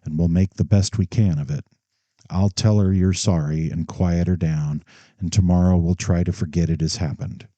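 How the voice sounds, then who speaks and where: A man reading an audiobook, voicing a quiet, firm grown daughter with a measured, regretful manner. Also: low voice, steady tone, dry recording, natural voice. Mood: soothing.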